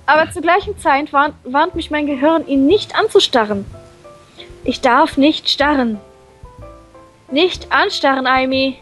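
A woman's voice: sits high, measured pace, fairly bright tone. A woman voicing a shy, flustered schoolgirl heroine with a crush in a visual novel, stammering and hesitant. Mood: giddy, anxious. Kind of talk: visual novel dub reading with inner monologue. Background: music.

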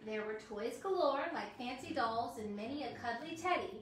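Woman, thirties, picture-book reading, lively storyteller, roomy hotel lobby, heard from across the room. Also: on the high side, moderate pace, a little hiss or hum. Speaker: singer reading a children's book aloud. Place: hotel lobby with Christmas tree and seated guests. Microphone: camera across the room. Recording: roomy echo, voice from a distance.

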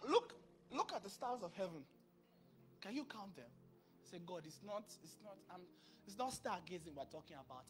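Animated male pastor preaching on a church stage. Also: very high voice. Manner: animated, acts out dialogue, bursts of volume. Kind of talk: sermon. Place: large church stage with purple lighting. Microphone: handheld mic.

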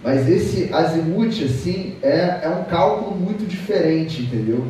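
A Brazilian man in his thirties giving an informal technical talk, explaining haltingly with repeated words. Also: a little high, lively intonation, dark tone. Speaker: conference speaker. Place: auditorium stage, seated at a table. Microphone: distant camera in the audience. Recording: echoey hall, distant mic, background noise.

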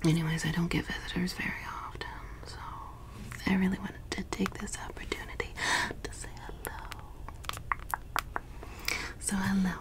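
A young woman in ASMR roleplay as a mysterious forest witch who tends a lost traveler, whispering softly close to the mic and repeating words soothingly in a small dim room. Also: lowish voice, level tone, normal speed, long pauses, lightly acted, low-quality recording. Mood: teasing. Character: mysterious, teasing forest witch woman, caring yet ominous. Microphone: close studio mic.

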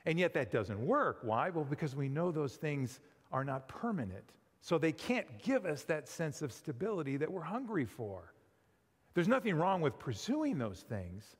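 Animated preacher in a reverberant sanctuary. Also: male voice, fairly high pitch, moderate pace, husky. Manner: animated, conversational preaching with rhetorical questions. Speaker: pastor. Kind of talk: sermon. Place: large church sanctuary with pipe organ. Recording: long hall reverb.

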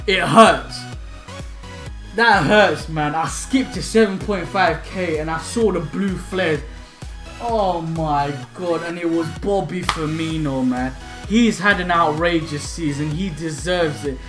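A young man giving hyped, slangy commentary while opening game packs at home. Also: high voice. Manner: hyped, shouting and laughing, slangy banter. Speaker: gaming YouTuber. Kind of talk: excited pack-opening commentary. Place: small home room, indoors. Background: faint background music.

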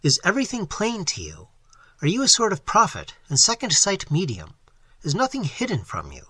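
A man's voice: medium pitch, huge pitch swings, unhurried, a bit bright, husky, no room sound, exaggerated voice. A man voicing a bewildered, lovestruck man in a melodramatic storybook reading. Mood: agitated, bewildered. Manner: melodramatic, swinging from dull to fervent. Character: bewildered, lovestruck man, given to impassioned outbursts. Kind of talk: dramatic audiobook character reading.

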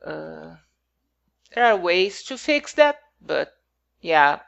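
A woman's voice: fairly low pitch, big pitch swings, slow, vocal fry, fairly bright tone, lo-fi sound. A woman whose first language is not English, talking casually over her game build in a hesitant, rambling way with many fillers.

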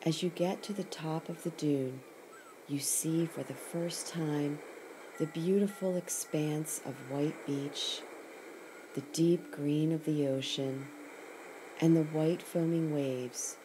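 A woman narrating a scripted guided imagery meditation in a soothing, measured way, leaving pauses between short phrases. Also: low-pitched, fairly even intonation. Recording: clearly noisy recording with ambient sound. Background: ocean sounds.

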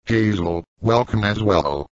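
A man's voice: lowish voice, takes it slowly, no echo. A text-to-speech man's voice playing a formal, somewhat nervous male robot hero. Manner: stiff, formal machine reading. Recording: dry lo-fi synthesized audio.

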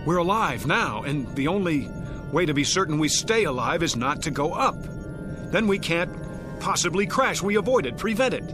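An actor playing a stubborn, commanding spaceship captain in a radio drama, speaking with insistent authority. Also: male voice, on the high side, big pitch swings, moderate pace. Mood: defiant, commanding. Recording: classical music playing behind the voice.

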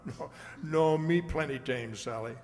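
A man's voice: a little high, measured pace, a little mellow. A man playing a loyal Indian companion in an old-time radio western, speaking stilted pidgin on a hall stage. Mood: easygoing. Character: loyal, plain-spoken Indian companion of a masked cowboy. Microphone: stand microphone. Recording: echoey hall, some noise.

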